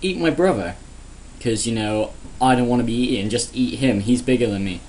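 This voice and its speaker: A man's voice: medium pitch, fairly quick, darkish tone, a little hiss or hum, natural voice, poor audio. A man doing a small, cowardly goat. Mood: wheedling. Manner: casual, offhand pleading.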